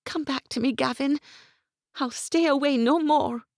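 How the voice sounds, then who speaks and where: Narrator playing young noblewoman, high-pitched, breathless and pleading, storybook reading. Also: a little slow, dry recording, clean studio sound. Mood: distraught.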